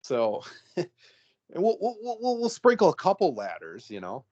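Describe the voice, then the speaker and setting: A man's voice: high-pitched, wildly swooping pitch, a little slow, a little mellow, highs cut off. A man hosting a casual reality-show review podcast, talking in a rambling way with many fillers and easy laughs. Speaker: podcast host. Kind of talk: casual chat.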